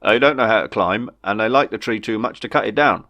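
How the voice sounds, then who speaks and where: A man's voice: on the low side, a little fast, sharp tone, dull audio. A man voicing a matter-of-fact male companion in a comic audiobook, patiently explaining things.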